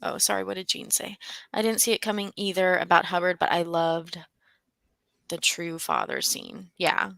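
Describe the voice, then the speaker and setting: A woman co-hosting a book club livestream, her voice bright and forceful. She chats casually, reads out comments and thinks aloud with plenty of fillers. The sound is dry and close. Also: lowish voice, lively intonation, moderate pace, gravelly, dry recording. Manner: chatty, thinks aloud, frequent fillers and restarts. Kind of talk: casual chat, reading out viewer comments.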